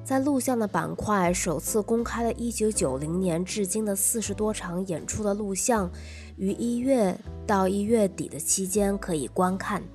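A woman's voice: medium pitch, normal speed, darkish tone, soft background music. A woman podcast host reading a scripted, first-person arts review.

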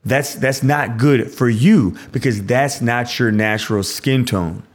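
A man's voice: medium pitch, unhurried. A priest hosting a show and explaining a scripture passage in a conversational way. He often restarts his sentences and adds "like" asides.

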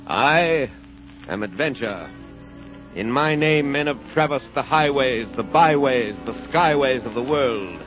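A man's voice: medium pitch, expressive, slow pace, fairly bright tone. A man playing Adventure itself, a romantic immortal spirit, in a grandiose, theatrical 1940s radio monologue. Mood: grand, seductive. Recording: muffled old 1940s radio recording, music.

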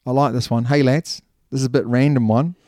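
A man's voice: mid-range voice, steady tone, a little fast, warm tone, dry recording, studio quality. A man with a New Zealand accent co-hosting a podcast, chatty and rambling, laughing easily. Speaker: podcast co-host. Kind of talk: casual rugby podcast chat.

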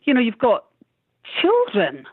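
A woman's voice: a little high, wildly swooping pitch, a little slow, breathy edge, no room sound. Woman, 50s, musician guest, emphatic, outspoken, dry, phone-quality audio. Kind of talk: candid interview conversation. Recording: tinny phone-line sound.

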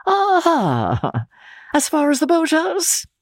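A woman voicing a large, suave gentleman count in an audiobook, falsely polite, courtly and teasingly inquisitive. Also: sits high, huge pitch swings, slow, dry recording, clean studio sound, voice pushed up, exaggerated voice. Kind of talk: dramatic audiobook character reading.

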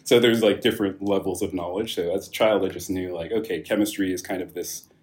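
A deep-voiced young man from California talks through his answers at an easy pace, pausing on fillers and restarting his phrases. He laughs lightly now and then as he explains things in a casual interview. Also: steady tone. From California, USA. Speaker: guest, wine company founder.

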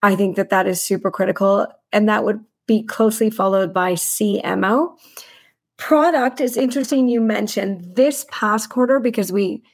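A woman's voice: mid-range voice, vocal fry. A woman speaking as an interview guest, a finance executive who explains her work in articulate detail with business jargon. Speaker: chief financial officer, podcast guest.

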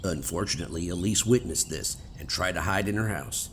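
Guy playing a hard accusing cop in a radio play, lowish voice, faint outdoor noise behind. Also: brisk, fairly bright tone. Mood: stern, accusing. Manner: dramatic, accusatory, interrogating. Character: stern, accusing male police detective making an arrest. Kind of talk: radio theater acting.

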